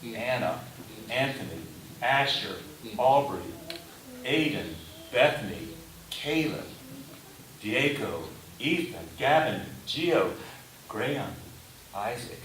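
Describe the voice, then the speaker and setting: A man delivering a sermon in a deliberate manner, in short phrases with pauses. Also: medium pitch, expressive, a bit bright. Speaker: preacher. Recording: roomy echo, distant mic, faint hiss.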